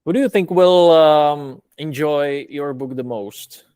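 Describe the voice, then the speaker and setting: Hesitant man with a smooth, resonant voice. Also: fairly high pitch, slow, a little mellow, no echo. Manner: hesitant, many fillers and restarts. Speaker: interviewer. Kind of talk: interview questions.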